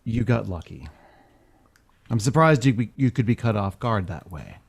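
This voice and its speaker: A man's voice: fairly low pitch, animated, a little slow, vocal fry, slightly rough, exaggerated voice. A man playing a starship captain's languid, teasing lover in a science fiction audio drama, speaking intimately.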